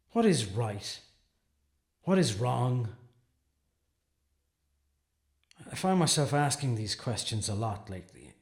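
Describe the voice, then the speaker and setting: An actor playing an earnest, troubled defense attorney in a dramatic monologue, speaking deliberately with brooding pauses. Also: male voice, medium pitch. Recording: echoey, roomy sound.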